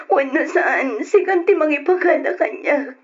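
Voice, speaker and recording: Distraught young wife, radio drama: high tearful pleading, muffled audio. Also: female voice, animated, unhurried. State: crying. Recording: dull lo-fi radio recording.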